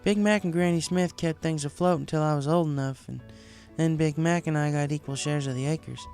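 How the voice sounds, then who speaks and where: A man voicing a caring, hardworking young female farm pony in a storybook reading, gentle and earnest. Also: on the high side, steady tone, brisk, soft background music, voice pushed up, natural voice. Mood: reflective. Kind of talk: acted storybook dialogue.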